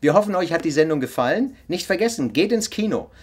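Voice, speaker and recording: A man's voice: fairly high pitch, sing-song, quick pace. A man hosting the show, chatty and upbeat as he signs off, with frequent filler words. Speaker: show host. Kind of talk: show sign-off.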